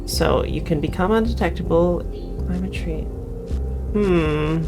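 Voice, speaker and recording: A woman's voice: lowish voice, unhurried, over music, rough recording. A woman reading a horror visual novel aloud as she plays, mixing storybook-like narration with casual commentary. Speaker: player narrating a game playthrough.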